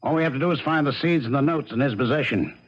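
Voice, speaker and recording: A man's voice: on the high side, quick pace, close to an everyday voice. Blunt police detective played by a man, muffled radio. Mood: firm. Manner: blunt, commanding, colloquial. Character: gruff, plain-spoken police detective, stubborn and wry. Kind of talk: 1970s radio drama acting. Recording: muffled, faintly noisy old radio recording.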